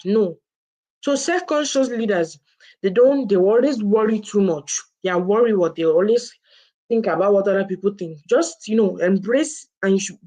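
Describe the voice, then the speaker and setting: A woman's voice: mid-range voice, a little mellow, low-quality recording. A woman co-hosting a book review, explaining informally and often restating and restarting her phrases. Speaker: co-host presenting a book review.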